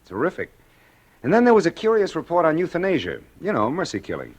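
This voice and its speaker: A man playing a brisk, domineering doctor and father in 1940s radio drama, hearty, assertive and needling, on a vintage recording. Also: fairly high pitch, wildly swooping pitch, slightly rough, exaggerated voice. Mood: probing.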